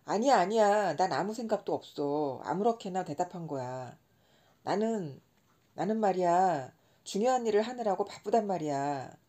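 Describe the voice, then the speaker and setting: A distracted grown-up pilot gives curt, offhand answers while he works, as a woman reads his lines. The voice is mellow, warm and fairly low. It has a plain storybook reading style. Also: lively intonation, normal speed. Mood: irritated. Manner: brusque, offhand replies.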